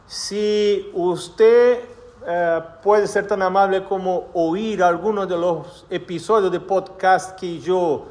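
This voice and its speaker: A Brazilian man preaching in a church with a Portuguese accent, his voice sitting high for a man. He talks slowly and deliberately, pausing on little fillers as he looks for words. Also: darkish tone. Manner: slow, measured, with hesitant fillers. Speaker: pastor. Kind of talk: sermon.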